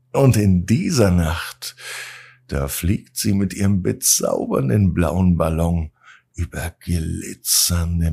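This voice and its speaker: Low, gravelly male bedtime storyteller. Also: darkish tone. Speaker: bedtime story podcast host.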